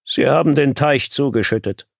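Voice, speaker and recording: A man's voice: mid-range voice, normal speed, gravelly, dry recording, studio quality, voice pushed up. Man voicing a curt, sombre storybook owl. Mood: curt, grim. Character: curt, watchful tawny owl, sombre and knowing.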